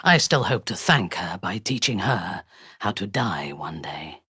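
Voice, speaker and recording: Casting: a menacing warrior cleric for a fantasy game, deep female voice swinging widely in pitch, haughty and ruthless. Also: sing-song, bright, forceful tone, husky, dry recording, clean studio sound, heavily acted. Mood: cold menace. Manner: haughty, deliberate, theatrical delivery. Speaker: video game character voice. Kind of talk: dramatic fantasy game voice lines.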